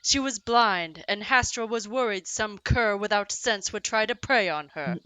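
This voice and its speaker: A woman's voice: mid-range voice, a little slow, pushed and bright, clean tone, no room sound, poor audio. A woman playing a bold, spirited warrior sister in a fantasy audio drama, with formal diction. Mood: caring. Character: bold, battle-loving warrior sister, protective and hearty.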